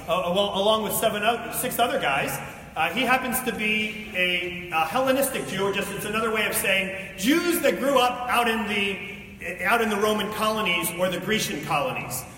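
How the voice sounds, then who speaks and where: Male preacher, high-pitched, colloquial storytelling, teaching with asides, long hall echo, distant from mic. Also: sharp tone. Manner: informal, colloquial, explanatory asides. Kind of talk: sermon, conversational storytelling and teaching. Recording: echoey hall, distant mic, faint noise.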